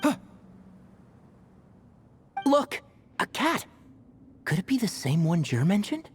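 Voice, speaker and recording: An actress playing a no-nonsense, sharp-tongued young woman leading an expedition in a video game, dry and commanding. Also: mid-range voice, big pitch swings, slow, slight background noise. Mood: focused. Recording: game music clearly behind voice.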